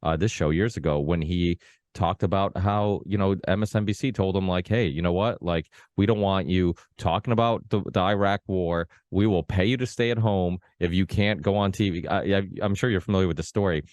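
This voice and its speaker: A man with a very low voice hosts a podcast and talks in a loose, rambling way. He fills his speech with ums and restarts. The sound is close and dry. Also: brisk, darkish tone, no room sound. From Portugal. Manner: rambling, many fillers and restarts. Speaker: podcast host. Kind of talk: casual conversational chat.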